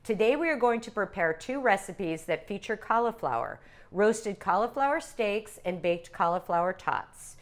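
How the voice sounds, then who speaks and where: Older woman, cooking instructor, calm step-by-step, teaching kitchen, lapel mic. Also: medium pitch, moderate pace, few pauses. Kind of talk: cooking demonstration. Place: home-style teaching kitchen.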